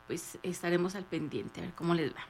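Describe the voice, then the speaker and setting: A woman's voice: lowish voice, a little fast, a little hiss or hum. A woman with a northern Mexican accent, chatting casually and agreeably as a radio co-host.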